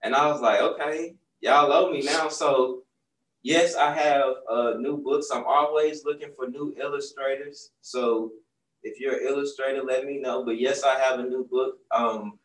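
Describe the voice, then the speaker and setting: A man's voice: mid-range voice, fairly even intonation, measured pace, a bit bright. A young man, a children's book author, talking casually in a bookstore, often asking "right?" as he tells a story. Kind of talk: casual talk to young audience. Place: bookstore, seated on a stool. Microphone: far camera. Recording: roomy sound from a distant mic.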